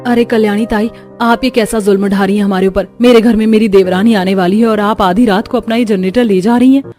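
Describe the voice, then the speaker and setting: A woman voicing an earnest young daughter-in-law in an animated family story. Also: medium pitch, a little fast, faint music behind, close to an everyday voice. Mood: indignant. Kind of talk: Hindi animated story dialogue.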